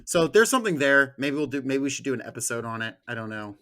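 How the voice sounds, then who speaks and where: A man's voice: mid-range voice, very animated, quick pace, pushed and bright. Young adult American male, mid-range voice, informal rambling podcast co-host. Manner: rambling, informal anecdote telling. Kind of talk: casual podcast chat.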